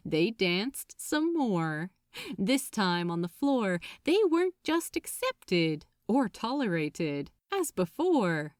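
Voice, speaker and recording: A woman reads a story aloud with dramatic, deliberate phrasing. Her voice is fairly high and swoops expressively, taken at an unhurried pace. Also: no echo, studio quality. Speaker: narrator. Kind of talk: expressive scripted story narration.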